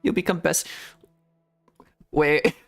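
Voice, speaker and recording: A man's voice: fairly high pitch, takes it slowly, gravelly, soft background music. A man streaming a game, chatting casually in a rambling, giggly way that often trails off and restarts. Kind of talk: casual gameplay commentary.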